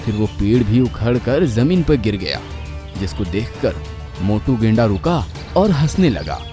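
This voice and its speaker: A man's voice: lowish voice, big pitch swings, measured pace, over music. A man narrating a scripted children's cartoon story in a dramatic storytelling style.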